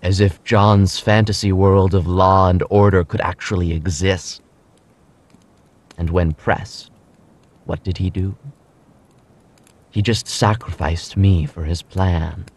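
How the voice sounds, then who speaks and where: A ruthless, devoted henchman delivers a brooding audio drama monologue. His voice is very low and he speaks slowly, leaning on deliberate pauses. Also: steady tone, exaggerated voice. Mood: bitter, brooding. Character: ruthless, loyal male follower recounting a strongman's rise.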